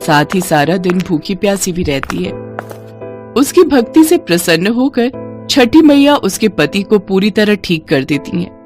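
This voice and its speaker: A woman narrating a scripted family story in an expressive, steady way. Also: medium pitch, a little slow, faint music behind.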